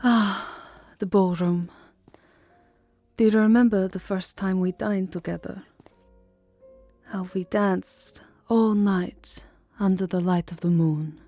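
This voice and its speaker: A woman playing a lovelorn, melancholy vampire countess in an ASMR roleplay, speaking softly, formally and hesitantly, often near a whisper. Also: mid-range voice, fairly even intonation, takes it slowly, no echo, faint music behind. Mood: tender, romantic. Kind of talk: ASMR roleplay voice acting, second-person romance.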